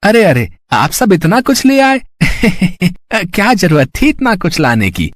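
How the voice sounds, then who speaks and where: Man playing a greedy, oily rich merchant, high-pitched. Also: wildly swooping pitch, slow, dry recording, clean studio sound, exaggerated voice. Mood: ingratiating. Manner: oily, showy politeness with self-satisfied chuckles. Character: greedy, showy rich village merchant, fake-humble and scheming. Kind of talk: Hindi moral-story cartoon voice acting.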